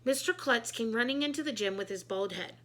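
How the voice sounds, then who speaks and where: Bright, high-voiced woman reading a children's story. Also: brisk. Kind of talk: expressive children's book read-aloud.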